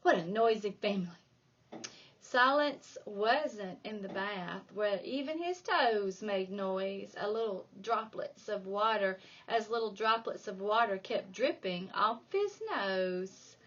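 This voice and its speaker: A woman reading a children's storybook aloud like a primary school reader, with dramatic emphasis and drawn-out key words. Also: mid-range voice, expressive, a bit bright, lo-fi sound, a little hiss or hum. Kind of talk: expressive children's storybook narration.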